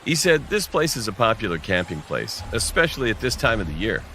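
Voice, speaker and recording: A man's voice: on the low side, very animated, fairly quick, pushed and bright, a little hiss or hum. A man narrating a scripted first-person horror story.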